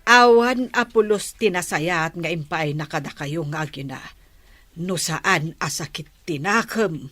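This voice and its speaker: A protective middle-aged mother gives philosophical counsel in a radio drama. She speaks very slowly in a slightly low voice, recorded dry. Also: fairly bright tone, dry recording. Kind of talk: Ilocano radio drama acting.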